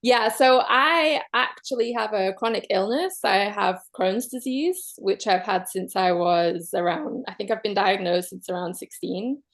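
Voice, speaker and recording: A woman from Manchester with a Mancunian accent, a guest openly sharing her love story in a casual chat, pausing often on soft "um"s. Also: medium pitch, expressive. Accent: Mancunian English. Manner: open, measured, many soft "um"s.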